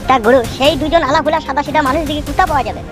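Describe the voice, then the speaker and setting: Woman voicing a fawning, high-pitched gang sidekick. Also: rapid-fire, over music, close to an everyday voice. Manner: flattering, stammers when flustered. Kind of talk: comedy cartoon dialogue.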